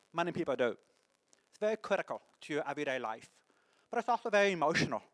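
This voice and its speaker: A deaf man with a mixed French and English accent gives a conference talk, speaking haltingly with many restarts. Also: on the high side, clear, resonant tone, poor audio. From born in the United States, lives in France. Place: conference hall.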